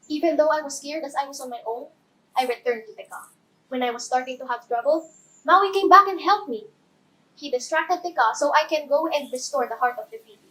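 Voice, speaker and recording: A woman acts out a brave young island heroine with a high voice. She is cheerful and curious, telling her own story in a chatty, theatrical character monologue. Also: fairly bright tone, poor audio. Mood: downcast, earnest, then hopeful. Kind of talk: first-person character monologue.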